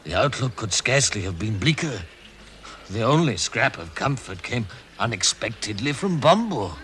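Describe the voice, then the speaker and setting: An actor as a grave narrator, telling a fantasy story in a measured, theatrical radio drama manner. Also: male voice, mid-range voice, very animated, a bit bright. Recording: noisy vintage radio recording.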